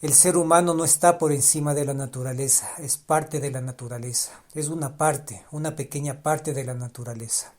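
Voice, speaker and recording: A man's voice: medium pitch, steady tone, moderate pace, few pauses. A man speaking alone on a podcast in a reflective way, often restarting his phrases and repeating ideas for emphasis, his first language Spanish. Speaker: podcast host.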